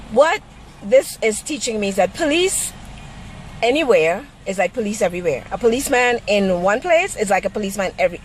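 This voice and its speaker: Blunt woman venting, noisy car. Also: mid-range voice. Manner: blunt, exasperated, salty-tongued. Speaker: vlogger. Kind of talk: casual first-person rant to camera. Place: inside a parked car by a busy street. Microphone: handheld camera. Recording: noisy car interior with street traffic.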